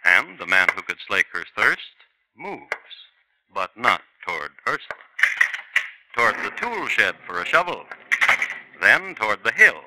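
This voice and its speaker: Man, wry storyteller, low voice with swinging pitch, 1950s radio narrator with music bed. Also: very animated, unhurried, long pauses, very noisy. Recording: old radio broadcast with music.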